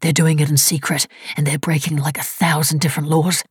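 A woman narrator voicing an injured, hunted man who talks in a hoarse, urgent, pleading rush. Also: a little high, flat delivery, brisk, no room sound, studio quality. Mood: frantic urging. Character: injured hunted young man, frantic and desperate.

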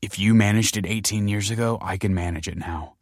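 A man's voice: on the low side, fairly quick, no room sound, studio quality. A man voicing a shocked, angry young husband who confronts his wife with incredulous accusations in a story reading. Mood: angry, choked.

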